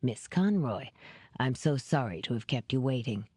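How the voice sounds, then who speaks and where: A woman voicing a powerful older businessman in an audiobook, courteous, calculating and formal in a measured way. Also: a bass voice, expressive, warm tone, breathy edge, dry recording, clean studio sound, voice pushed down, exaggerated voice.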